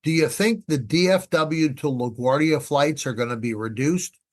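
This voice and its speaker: A man's voice: on the high side, normal speed. A man talking conversationally as an expert podcast guest, emphatic, repeating phrases and posing rhetorical questions. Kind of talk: conversational explaining.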